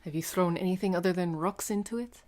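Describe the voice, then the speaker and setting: A woman takes part in a tabletop roleplaying game as a player. Her pitch is a bit low. She talks casually, slipping between table chat and roleplay. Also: fast, a little mellow.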